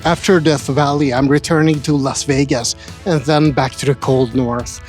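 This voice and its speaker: A man's voice: medium pitch, steady tone, normal speed, music in the background. A man from Sweden, narrating his travel vlog in a dry, wry and grumpy humor. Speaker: travel vlogger.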